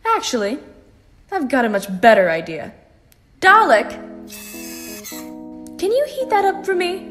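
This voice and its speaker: A woman's voice: very high voice, huge pitch swings, a little slow, a bit bright, faint music behind, heavily acted. A woman playing a bossy, sardonic character who gives commands theatrically in an audio drama. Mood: breezy, sardonic. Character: bossy, sardonic woman ordering a pet Dalek around.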